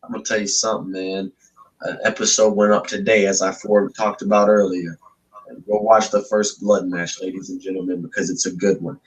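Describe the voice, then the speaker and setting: Cocky young man with a deep voice. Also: level tone, brisk, poor audio. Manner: cocky, trash-talking, repeats catchphrases. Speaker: backyard wrestler guest. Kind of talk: boastful wrestling promo talk.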